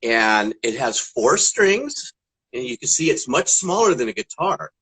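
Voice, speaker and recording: A man's voice: mid-range voice, very animated, measured pace, a bit bright, husky, low-quality recording. A man talking casually to children as a guest puppeteer and musician, explaining in simple steps. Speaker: guest puppeteer and ukulele player.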